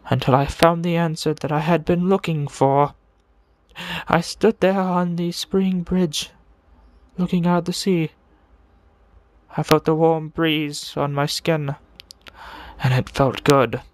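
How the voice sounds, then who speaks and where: A man voicing a deranged serial killer who confesses with morbid relish in a theatrical, brooding monologue full of dramatic pauses, in the style of a video game villain. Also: sits high, fairly bright tone, dry recording, full character voice. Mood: morbid, reflective. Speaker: amateur voice impressionist.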